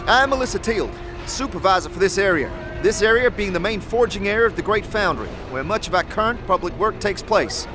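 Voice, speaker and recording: A man voicing a brisk, no-nonsense, muscular horned forewoman in a fantasy audio drama. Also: sits high, lively intonation, music in the background, voice pushed up. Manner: bossy, no-nonsense, briskly instructing.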